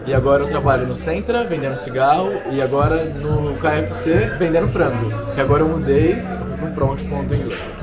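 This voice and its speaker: A man's voice: fairly high pitch, fairly quick, few pauses, over loud music. A young man from Minas Gerais, an exchange student chatting and joking about his life abroad in a pub. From Minas Gerais, Brazil. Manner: jokey, chatty, self-mocking. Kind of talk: casual interview. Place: pub, indoors.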